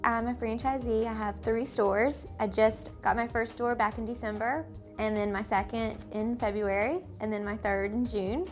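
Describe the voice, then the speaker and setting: A woman's voice: a little high, steady tone, moderate pace, few pauses, soft background music. A young woman speaking as a franchisee in an interview testimonial. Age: young adult.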